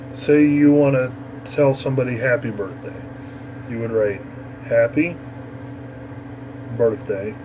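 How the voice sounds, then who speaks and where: A man with a medium-pitched voice gives an informal tutorial. He explains things step by step in a casual, halting way, as an instructor would. A clearly audible steady noise runs behind his voice. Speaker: amateur radio instructor. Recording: noisy, steady background hum.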